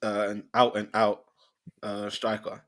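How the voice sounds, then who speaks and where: A man on a football podcast panel, bantering in a slangy, incredulous, animated way. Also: lowish voice, unhurried. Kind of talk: casual banter.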